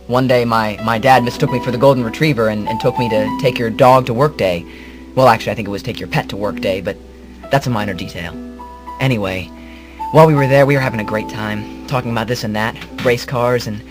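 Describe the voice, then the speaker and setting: A man playing an earnest, hapless hero who narrates his story in a halting, rambling, melodramatic way in a comedic radio drama. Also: on the low side, lightly acted. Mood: wistful, melodramatic.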